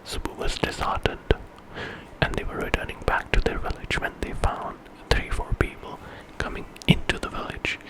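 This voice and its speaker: A man's voice: moderate pace, a bit bright, rough recording. A man telling a story in whispered ASMR style, retelling it informally with colloquial phrasing and small self-corrections.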